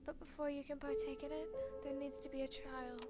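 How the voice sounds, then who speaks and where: A woman reads a story game's text aloud in second person, steady and plain, with light asides now and then. Her voice sits high. Loud game music plays behind her. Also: a little fast.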